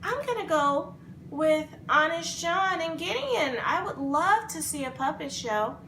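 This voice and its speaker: A woman voicing a naive, excitable little puppet boy in a storybook tale, eager, childlike and dramatic. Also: high-pitched, unhurried, heavily acted. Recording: roomy echo, faint background noise.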